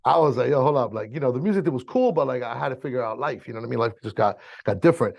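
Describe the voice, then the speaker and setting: Fast-talking gravelly American man, dull mic. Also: mid-range voice, lively intonation. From Miami, raised there, born in Chile. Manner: slangy, informal, frequent "you know" and "bro". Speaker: interview guest, music engineer. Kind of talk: casual storytelling.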